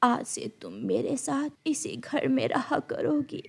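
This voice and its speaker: A woman voicing a kindly old mother bird in a cartoon story, speaking softly and gently with a breathy voice. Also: sits high, animated, slow, full character voice. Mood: motherly.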